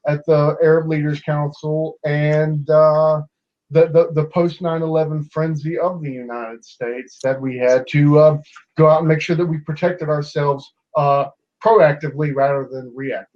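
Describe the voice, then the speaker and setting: A man's voice: fairly high pitch, flat delivery, normal speed, darkish tone, muffled recording. A man in his twenties debating online in a casual way, with many restarts and fillers as he argues. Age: 20s.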